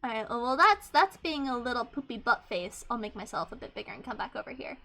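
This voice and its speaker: A woman in her twenties with a high-pitched voice, chatting casually on a livestream and swearing freely, rambling with many restarts. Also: expressive, fast, gravelly, sharp tone. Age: 20s. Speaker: VTuber streamer.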